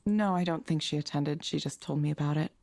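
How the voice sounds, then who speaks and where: A woman's voice: low-pitched, brisk, creaky, darkish tone, no room sound. An actress playing a guarded, skeptical woman in a visual novel game, reserved and questioning.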